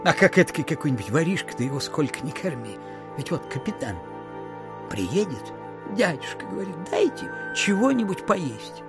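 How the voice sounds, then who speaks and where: An actor playing a miserly, suspicious old landowner in an audio drama, muttering fussily and breaking into grumbles and exclamations. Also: male voice, on the high side, sing-song, moderate pace, over music. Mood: grumbling, sly. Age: older. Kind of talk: theatrical audio drama acting.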